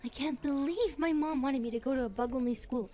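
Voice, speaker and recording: A woman plays a nervous young grasshopper girl in an audio roleplay. Her voice is high and soft-toned, and she chatters hesitantly, full of self-doubt. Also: fairly quick, close to an everyday voice. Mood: chatty, excited. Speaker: roleplay voice actress. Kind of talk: ASMR roleplay acting.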